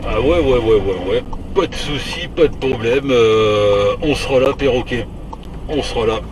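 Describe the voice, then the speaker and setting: A man's voice: mid-range voice, a little slow. A man chatting casually by radio from a truck cab, hesitant, colloquial and often repeating himself. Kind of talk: casual radio chat while driving. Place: inside a truck cab on the road. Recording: clear vehicle and road noise. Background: engine and traffic noise.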